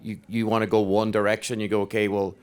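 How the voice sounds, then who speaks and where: A man stands up in the audience to challenge the panel. His question comes out haltingly, full of restarts and "like"s, in a voice lower than most men's. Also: flat delivery, clear, resonant tone. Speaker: audience member asking a question. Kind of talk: spontaneous question from the floor. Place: conference room audience.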